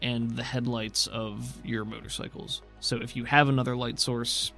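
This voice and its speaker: Man, game master, fry-laden mid-pitched voice, descriptive narration, casual asides, tabletop game. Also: flat delivery, pushed and bright. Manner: descriptive, deliberate scene-setting mixed with casual asides. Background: background music.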